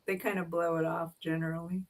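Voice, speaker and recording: A woman in her sixties with a gravelly, creaky voice and a plain, matter-of-fact way of speaking. She slips in dry jokes while chatting with friends on a video call. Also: on the low side, flat delivery, brisk, over a noisy crowd. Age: 60s. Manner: matter-of-fact, wry joking. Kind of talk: casual chat.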